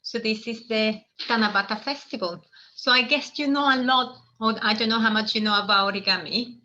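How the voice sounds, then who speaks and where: A woman's voice: a little high, a little fast, pushed and bright, highs cut off. A woman from El Salvador hosting a live online origami lesson, explaining in a friendly, meandering way with restarts. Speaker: workshop host.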